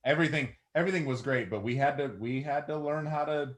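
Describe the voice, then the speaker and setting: A man's voice: a little high, fast talker. A man co-hosting a webcast in casual, conversational chat. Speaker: webcast co-host.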